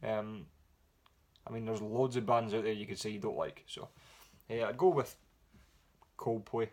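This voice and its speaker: A man with a Scottish accent answering viewer questions in a relaxed, rambling way with frequent pauses and fillers. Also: fairly low pitch. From Scotland. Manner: relaxed, rambling with many ums. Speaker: music vlogger. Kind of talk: casual Q&A vlog.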